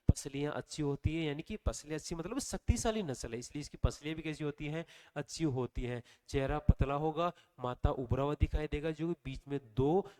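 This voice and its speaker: A man's voice: mid-range voice, darkish tone. A man with a slightly sore throat teaching an exam revision lesson, asking the class questions and answering them himself. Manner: quizzing listeners with repeated questions and answers. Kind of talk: online revision lecture.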